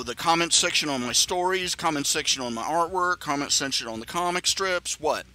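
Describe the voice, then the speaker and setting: A man's voice: fairly high pitch, sharp tone. A man hosting a live stream, talking in a casual rambling monologue with many stammering restarts and chuckling asides.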